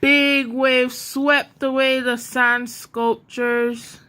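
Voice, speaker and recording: Slow, bright-voiced woman reading a storybook. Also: sits high, flat delivery, a little hiss or hum. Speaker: storybook reader. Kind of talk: scripted children's story narration.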